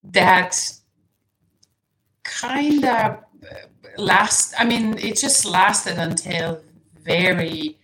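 A woman from Serbia whose native language is Serbian, a podcast guest speaking in a casual interview, soft-spoken and hesitant, restarting often and laughing lightly. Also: medium pitch, slow, rough recording. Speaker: guest, nutrition coach.

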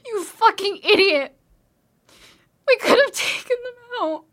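A woman acting an exhausted, emotional daughter in a post-apocalyptic audio drama, speaking haltingly. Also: extremely high pitch, lively intonation, fairly bright tone, exaggerated voice. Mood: anguished, angry. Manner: halting, repeats words.

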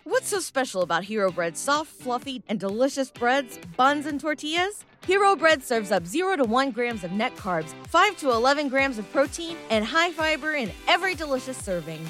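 High, lively woman reading an ad. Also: moderate pace, hardly any pauses. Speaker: commercial voice-over. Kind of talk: modern scripted advertising read. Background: music.

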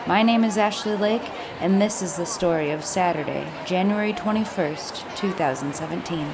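A woman narrating a documentary in a measured, low-key way. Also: heavy background noise.

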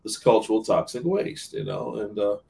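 A man's voice: lowish voice, flat delivery, moderate pace, creaky, warm tone, recorded from a distance, a little hiss or hum. An earnest male minister talks through his points in a podcast interview. He stumbles, restarts and repeats words as he goes. His voice sits a little back from the microphone.

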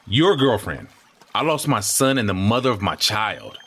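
A low-voiced, volatile grieving father. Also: very animated, moderate pace, fairly bright tone, slight background noise. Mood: sceptical, bitter. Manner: accusing, clipped, emotional. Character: grieving father, mild-mannered brother turning angry. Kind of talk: audio drama acting.

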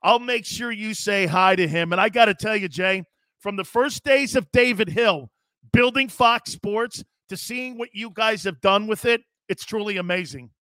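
Bright, dry-sounding male sports talk host. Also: sits high, moderate pace, no echo, low-quality recording. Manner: emphatic, reads listener messages aloud. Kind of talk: sports talk radio hosting.

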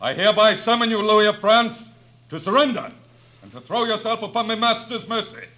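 An actor playing a haughty, menacing medieval herald, declaiming formally in 1940s radio drama style. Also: male voice, sits high, brisk, bright, forceful tone. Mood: stern, threatening. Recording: muffled 1940s radio broadcast.

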